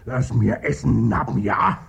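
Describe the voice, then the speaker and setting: An actor in a 1950s German radio play voicing a slow, weary, grumbling middle-aged working-class husband with a Berlin accent and a gravelly, husky voice. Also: male voice, medium pitch, fairly bright tone, exaggerated voice. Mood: grumpy. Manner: sluggish, grumbling, mumbled colloquial speech. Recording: dull vintage radio recording.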